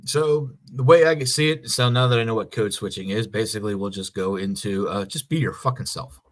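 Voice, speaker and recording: An American man speaks in a fairly low voice as a podcast co-host. He chats casually and explains things in an informal, slightly hedging way. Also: a little fast. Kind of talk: casual conversational podcast chat.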